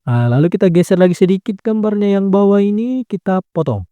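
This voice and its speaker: A man's voice: high-pitched, a little fast, smooth, no room sound, dull audio. A man walking through a phone video edit step by step, correcting himself as he goes. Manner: calm instructing with frequent "nah" and self-corrections. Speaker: video editing tutor. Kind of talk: step-by-step screen tutorial.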